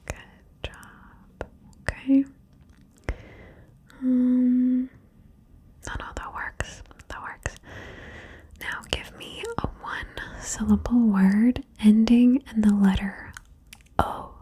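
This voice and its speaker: Slow whispering woman. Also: on the high side, fairly even intonation. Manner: slow soft whisper, coaxing and encouraging. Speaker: ASMR host guiding the listener. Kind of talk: whispered ASMR word-game session.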